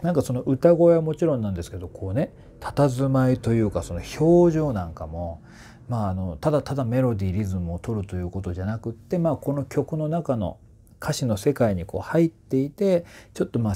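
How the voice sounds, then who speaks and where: A man in his forties giving casual, explanatory commentary to camera as a voice trainer, in a slightly husky voice. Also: mid-range voice. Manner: animated, often sings short phrases to demonstrate. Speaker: voice trainer reviewing singers. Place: small indoor room with curtains.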